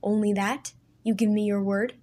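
A woman voicing an angry young man who speaks harshly and loudly. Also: medium pitch, little pitch movement, moderate pace, lightly acted. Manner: harsh shouting.

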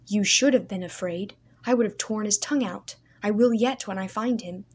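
A woman voicing an angry young man who speaks harshly and loudly. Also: medium pitch, normal speed, husky, close to an everyday voice. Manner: harsh shouting.

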